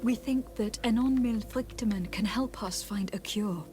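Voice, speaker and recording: A woman's voice: on the high side, normal speed, a little mellow, natural voice. An actress playing a courteous, composed young noblewoman diplomat in a fantasy video game, polite and formal. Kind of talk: video game dialogue acting.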